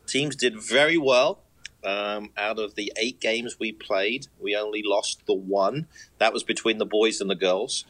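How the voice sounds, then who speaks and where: A man's voice: lowish voice, a little slow, fairly bright tone, low-quality recording. A man who is a youth soccer coaching director, chatting on a podcast in an upbeat, self-mocking way. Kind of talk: casual sports chat.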